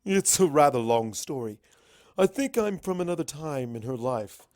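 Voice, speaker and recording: A man's voice: medium pitch, expressive, normal speed, a little mellow, no echo, studio quality, lightly acted. A man voicing a courteous, scholarly male pony wizard from the past, formal and explanatory, in a story reading. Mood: amused, courteous.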